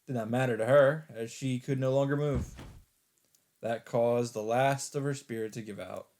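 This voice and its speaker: A man's voice: medium pitch, fairly even intonation, moderate pace, a little mellow. A man hosting a let's play, reading a visual novel's narration aloud in a dramatic storytelling style. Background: game music.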